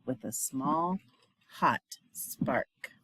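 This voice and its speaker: A woman reading a children's picture book aloud in a dramatic, expressive way, dropping into hushed refrains. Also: very deep voice, drawn-out words, breathy edge, no echo, low-quality recording. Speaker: storybook reader, teacher.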